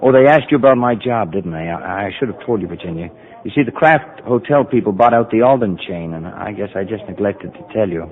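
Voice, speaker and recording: An actor playing a gentle, apologetic husband in a radio drama, mild and hesitant as he soothes his wife. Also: lowish voice, animated, a little fast. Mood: sheepish, gentle. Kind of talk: mid-century American radio drama acting. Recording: muffled old radio recording.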